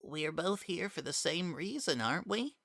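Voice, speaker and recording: Gentle child for a storybook drama, acted by an adult: raised pitch, hushed, tender, sorrowful and forgiving. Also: lively intonation, normal speed, creaky, no room sound, studio quality. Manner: soft, tender, hesitant.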